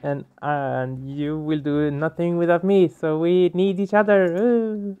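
A man's voice: fairly high pitch, fairly even intonation, a little slow, smooth, phone-quality sound. A man giving casual let's play commentary, thinking aloud in a hesitant way. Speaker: let's player. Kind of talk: casual game playthrough commentary. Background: soft game music.

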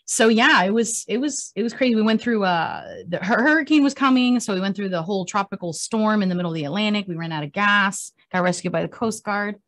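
A woman's voice: medium pitch, a little fast, few pauses, a bit bright. A woman in her forties, born in Cuba and a native Spanish speaker, chatting as an interview guest and telling a long story with many fillers. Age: 40s. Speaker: country singer, guest. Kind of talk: casual interview chat.

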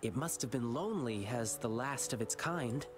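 A man voicing an earnest, boyish young lion dancer in a video game, telling his story in measured, wistful dialogue. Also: mid-range voice, natural voice. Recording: game music underneath.

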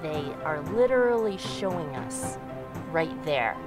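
Low-voiced young woman over music. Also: big pitch swings, a little slow. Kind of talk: earnest interview remarks. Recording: loud music over interview. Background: loud music.

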